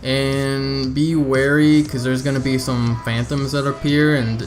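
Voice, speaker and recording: A man's voice: mid-range voice, flat delivery, measured pace, music in the background. A man giving a casual, step-by-step video game walkthrough, talking informally and directly to his viewers. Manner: informal, addresses viewers as "you guys".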